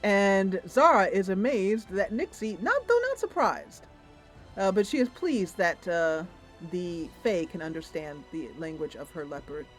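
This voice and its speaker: Female roleplay narrator: expressive, improvised storytelling with lively pitch movement and music present. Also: measured pace, rough recording. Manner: expressive, descriptive. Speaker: game narrator, streamer.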